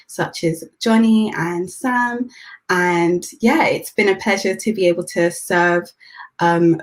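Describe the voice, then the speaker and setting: A young woman with a British accent introducing herself as a guest, earnest and full of ums and self-corrections. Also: fairly low pitch. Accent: British English. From England. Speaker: youth leader and guest. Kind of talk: panel introduction.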